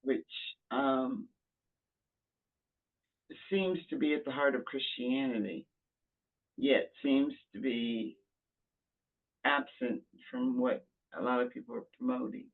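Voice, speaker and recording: A man giving reflective, conversational commentary in a halting way, repeating phrases for emphasis, over a tinny call connection. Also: medium pitch, big pitch swings, vocal fry. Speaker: book discussion participant. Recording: tinny, phone-like call audio.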